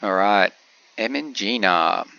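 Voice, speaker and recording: A man with a cold, sounding a little congested, giving relaxed game commentary with frequent pauses. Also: on the low side, gravelly, clear, resonant tone, highs cut off. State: has a cold, slightly congested. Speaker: gameplay commentator.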